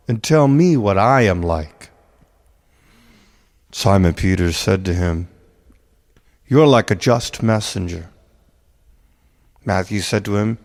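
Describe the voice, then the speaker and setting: Slow, gravelly man reading scripture in deep reverb. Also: a little mellow. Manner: measured, deliberate, dramatic phrasing. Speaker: reader of a spiritual text. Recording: long cavernous reverb.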